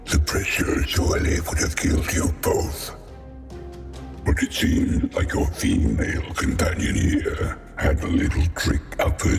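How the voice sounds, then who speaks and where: Extremely deep male demon lord, gravelly, grandiose and polite, game cutscene, echoing, music behind. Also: heavily acted. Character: courtly deep-sea demon lord, wry, leisurely and theatrical. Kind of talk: scripted game cutscene dialogue.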